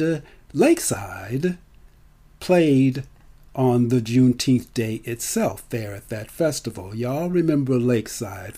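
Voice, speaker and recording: A man's voice: on the high side, warm tone. A middle-aged man talking casually to an audience as a storyteller, with a theatrical, emphatic delivery. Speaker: storyteller and filmmaker. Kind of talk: casual spoken monologue to an audience.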